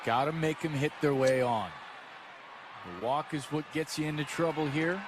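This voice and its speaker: A man's voice: a little high, normal speed. An American man giving informal, conversational analysis as a live baseball color commentator. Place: stadium broadcast booth. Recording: noisy live ballpark broadcast.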